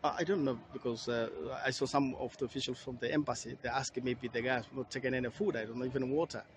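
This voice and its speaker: A man's voice: mid-range voice, steady tone, fast talker. A man reporting from the field, putting questions to a lawyer with a middle-range voice. He hesitates and fills gaps as he asks. Faint noise sits behind him.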